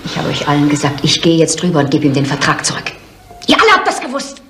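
A stubborn, matter-of-fact young heiress in a 1970s radio crime drama. Her voice is deep for a woman and she speaks bluntly and firmly. Faint noise sits behind her. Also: huge pitch swings, quick pace, fairly bright tone, exaggerated voice. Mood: defiant. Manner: firm, blunt. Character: stubborn, matter-of-fact daughter of a slain businessman.

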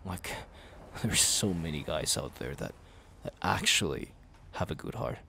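A man playing a jealous, tender young best friend confessing love in an intimate ASMR roleplay, halting and murmuring with breathy sighs. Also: a bass voice, lively intonation, pushed and bright, a little hiss or hum, full character voice. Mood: frustrated, hurt.

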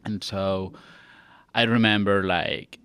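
Low-voiced young Spanish-accented man. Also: steady tone, takes it slowly, a bit bright, clean tone. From Catalonia, Spain. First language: Spanish and Catalan. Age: young adult. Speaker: actor, podcast guest. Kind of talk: casual interview talk. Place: small podcast studio. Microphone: close boom-arm studio mic.

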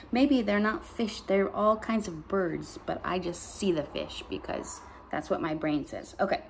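A woman's voice: fairly low pitch, darkish tone. A middle-aged woman, a pastor hosting story time, reading poems aloud expressively to children with casual asides. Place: bright dining room at home.

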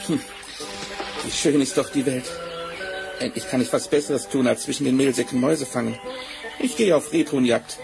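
An actor voicing a boastful, cheerful talking tomcat in a theatrical fairy-tale radio play from the seventies. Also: male voice, mid-range voice, normal speed, creaky, long pauses, music in the background, natural voice. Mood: confident, content. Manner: theatrical, self-satisfied, flattering. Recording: noisy old vinyl record rip.

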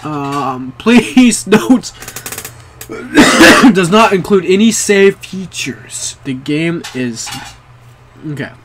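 A man's voice: high-pitched, very slow. Young man, jumpy horror let's play host, cursing a lot, small bedroom, faint hiss. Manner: jumpy, excitable, swears a lot, talks to viewers. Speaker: gaming video creator.

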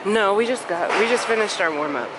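A woman's voice: medium pitch, animated, fairly quick. A young woman casually chatting and laughing as she films herself at a big indoor gym on a handheld camera. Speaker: vlogger. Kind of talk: casual vlog chat. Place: large busy gym, indoors. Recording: loud gym noise behind.

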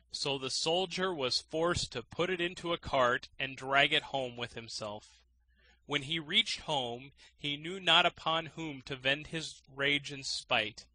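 Very bright, slow-reading male storyteller. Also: a little high, no room sound, poor audio. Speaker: audiobook narrator. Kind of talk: scripted fairy tale narration.

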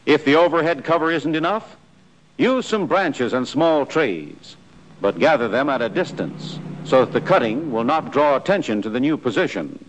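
An American man narrating a 1940s military training film in a scripted, instructive style, with clear imperative delivery. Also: mid-range voice, lively intonation, normal speed. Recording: noisy 1940s film soundtrack.

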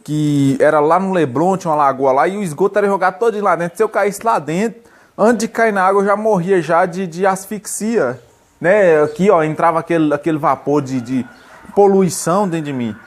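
A man's voice: a little high, fairly quick. A young man from Minas Gerais with a mineiro accent, rambling and joking in a casual comic monologue, filmed indoors on a phone held at arm's length. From Minas Gerais, Brazil. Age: young adult. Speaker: vlogger. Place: indoors, seated on a wooden bench by a painted wall.

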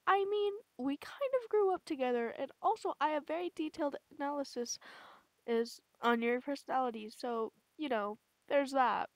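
A woman's voice: high-pitched, moderate pace, no room sound. A woman voicing a timid, earnest, nerdy teenage boy in an amateur anime comic dub, hesitant and pleading.

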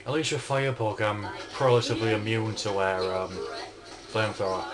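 A man's voice: fairly low pitch, fairly quick, crowd noise. A man giving casual, sweary commentary while he plays a video game. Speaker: gamer. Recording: game music loud behind the voice. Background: loud game music and television sound.

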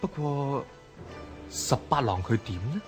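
Old lovestruck emperor, stately drawn out theatrical talk, radio play w/ music under it. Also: male voice, medium pitch, big pitch swings, measured pace. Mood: wistful, hesitant. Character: aging, lovestruck Chinese emperor. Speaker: radio drama actor. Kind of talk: Cantonese historical radio drama acting.

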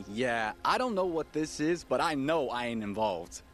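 An actor playing a wary, impatient survivor of a zombie apocalypse in a video game, blunt and streetwise. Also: male voice, medium pitch, big pitch swings, measured pace, faint music behind. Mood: baffled, wary. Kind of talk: video game cutscene acting.

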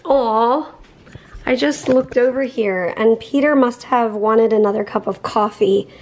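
A woman in her thirties chats to a handheld camera in her kitchen, lively and full of "like." Her voice sits a little high and has a breathy edge. The sound is close and homey. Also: a little slow. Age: 30s. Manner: chatty, animated, says "like" a lot. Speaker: vlog co-host. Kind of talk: casual vlog chat. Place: home kitchen. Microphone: handheld camera at arm's length.

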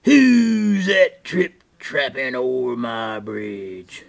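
A man voicing an angry, menacing storybook troll who bellows drawn-out threats. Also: mid-range voice, big pitch swings, vocal fry, heavily acted.

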